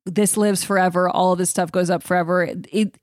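A woman podcast host chatting casually, slangy and thinking out loud as she talks. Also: on the low side, steady tone, fairly quick, no echo, studio quality. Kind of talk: casual chat.

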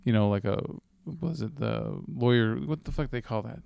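A man's voice: medium pitch, moderate pace, vocal fry, mellow, clean tone, dry recording, clean studio sound. A man co-hosting a casual film news chat, talking in an informal, profane, rambling way. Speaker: movie podcast co-host.

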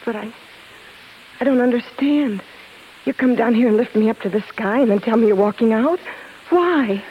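An American actress playing a spirited, wounded star wife in a 1950s radio drama, emotional and slangy, by turns brassy and pleading. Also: female voice, on the high side, normal speed, close to an everyday voice. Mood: hurt indignation. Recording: muffled, hissy 1950s radio broadcast.

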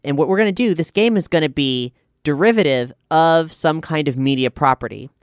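Guy rpg player, smooth clear voice, wry casual chat, very dry sound. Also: fairly high pitch, fairly quick, no echo. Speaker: player and performer on an actual-play show. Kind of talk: casual conversational banter and description.

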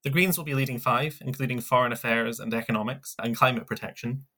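A man co-hosting a scripted news roundup, reading headlines in a measured way with small asides. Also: medium pitch, fairly even intonation, sharp tone. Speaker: news podcast co-host.

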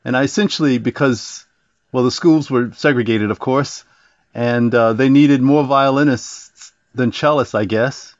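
Relaxed male cellist, dull sound. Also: mid-range voice, a little slow, dry recording. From Virginia, US. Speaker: orchestra cellist guest. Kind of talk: interview storytelling.

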